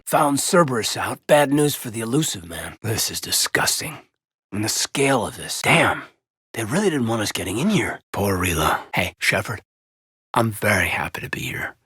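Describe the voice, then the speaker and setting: A man playing an earnest, loyal and conflicted space marine officer in a video game, with a husky voice and a sincere military manner. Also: mid-range voice, fairly bright tone, no echo, studio quality, heavily acted. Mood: somber, then soft, warm. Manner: sincere, military, clipped and intense. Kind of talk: video game voice acting, dramatic dialogue.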